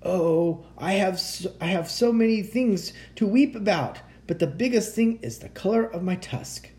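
A man's voice: on the high side, sing-song, normal speed, gravelly, darkish tone. A man voicing a huge, self-pitying old rhinoceros in a children's storybook, with dramatic, emphatic delivery. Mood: self-pitying lament.

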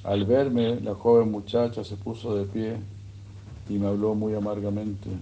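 Slow, dark-voiced male preacher. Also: fairly low pitch, little pitch movement, lo-fi sound, a little hiss or hum. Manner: slow, measured storytelling with pauses. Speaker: religious speaker. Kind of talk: sermon retelling scripture.

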